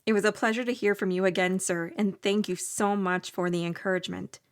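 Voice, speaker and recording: Young innkeeper heroine, played by a woman, scripted first-person storytelling, measured and gentle, earnest botany graduate. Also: medium pitch, steady tone, close to an everyday voice. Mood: warm, pleased. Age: young adult.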